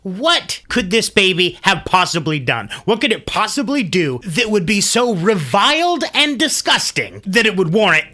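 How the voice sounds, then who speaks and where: A man with a bright, forward voice hosts a storytelling show. His pitch sits a little high and swings widely as he talks. He speaks informally, like someone chatting through a tale and adding his own commentary. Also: big pitch swings, normal speed, few pauses.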